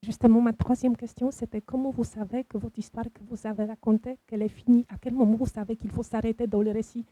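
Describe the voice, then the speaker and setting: A woman's voice: fairly high pitch, little pitch movement, fast, few pauses, mellow, dry recording. A woman in the audience politely asking the author a series of orderly questions. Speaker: audience member.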